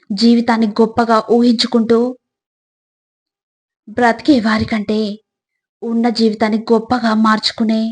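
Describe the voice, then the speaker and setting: A woman reads scripted motivational reflections in a measured way, addressing the listener directly like a narrator of life lessons. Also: fairly high pitch.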